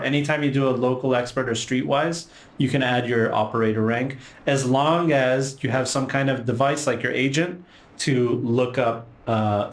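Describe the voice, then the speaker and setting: Guy game mastering a tabletop rpg, casual talk, roomy echo on it. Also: medium pitch. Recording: echoey room.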